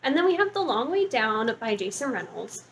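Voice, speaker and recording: A woman with a fairly high voice talks casually, as a book vlogger would to her viewers. Her delivery is informal and gushing, sprinkled with "like". Some faint noise sits behind her voice. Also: very animated, normal speed, a bit bright. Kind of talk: casual chatty book review to camera.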